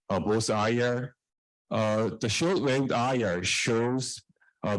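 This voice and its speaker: A man giving a technical research presentation in a halting way, repeating and restarting phrases. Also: fairly low pitch, no echo, poor audio. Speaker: researcher presenting own work.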